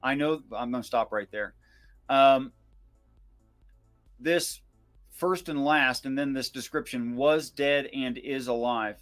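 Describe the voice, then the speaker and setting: A man's voice: medium pitch, slow, long pauses, a bit bright. Man explaining scripture over music. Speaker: Bible discussion co-host. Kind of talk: informal scripture commentary, reading verses aloud.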